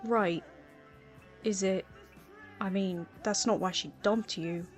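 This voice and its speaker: A woman's voice: medium pitch, steady tone, slow pace, natural voice. A wry, self-conscious teenage boy played by a woman narrator in a storybook reading. He is understated and dry, given to teasing, with music playing beneath him. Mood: awkward, hesitant.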